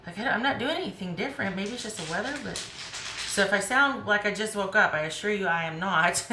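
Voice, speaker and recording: A woman with a hoarse voice giving a chatty, rambling tarot reading, often restarting her sentences. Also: mid-range voice, animated, fairly quick, reverberant, background noise. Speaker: tarot reader.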